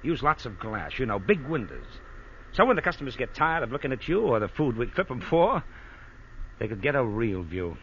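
A man's voice: medium pitch, sing-song, a little fast, a bit bright. A man playing a cocky, cruel racketeer in old radio crime drama, talking in a mocking, dismissive way. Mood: musing. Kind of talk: 1940s-50s radio crime drama acting. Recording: dull, noisy old radio broadcast.

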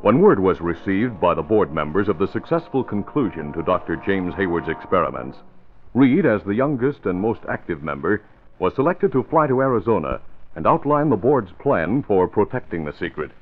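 An American man announces an old-time radio serial with polished drama. His medium-pitched voice rises and falls widely and emphatically. Music plays along, and the recording is dull and vintage. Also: big pitch swings, moderate pace. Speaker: announcer and narrator. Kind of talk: 1940s-style radio announcing, scripted narration.

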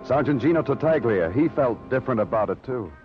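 An actor playing a terse, world-weary, somber Broadway detective in a radio noir drama. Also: male voice, mid-range voice, lively intonation, a little fast, faint music behind, natural voice. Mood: somber, brooding. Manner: clipped, hard-boiled, somber. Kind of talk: 1950s radio noir acting and narration. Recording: muffled old radio recording.

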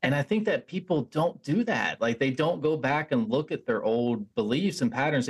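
A man hosting a podcast, reflecting back on what his guest said in a thoughtful, casual way, often restarting his sentences. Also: medium pitch. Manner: thoughtful, reflective, many restarts and "you know"s. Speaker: podcast host. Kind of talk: casual interview chat.

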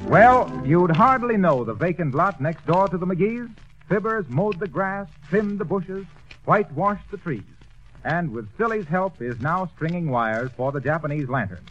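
A man announcing, reading an ad and narration in a brisk salesman's pitch. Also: animated, fairly bright tone, soft background music. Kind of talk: scripted ad read and narration. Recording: dull 1930s radio recording.

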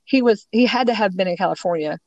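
A woman's voice: mid-range voice, fast talker, a little mellow, no room sound, muffled recording. Woman cohost w a bit of a scratchy allergy voice, rambly with lots of ums, dry close mic. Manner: rambling, many ums and you-knows. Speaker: podcast co-host. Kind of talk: casual true crime discussion.

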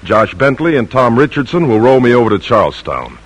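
An actor playing a resolute, middle-aged colonial patriot husband with a husky voice, earnest and gentle, in an old-time radio drama. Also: male voice, medium pitch, big pitch swings, brisk.

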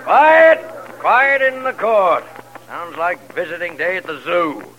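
A man's voice: sits high, expressive, slow pace, dull audio. A man playing a crotchety, flustered older judge in 1940s radio comedy style, blustering and exasperated. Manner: blustering, exasperated, with muttered hesitations. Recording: noisy vintage radio broadcast recording.

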